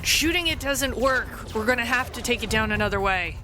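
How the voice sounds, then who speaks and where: A woman's voice: a little high, animated, fairly quick. A woman playing a tabletop role-playing game, speaking in character. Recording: loud music bed behind voice.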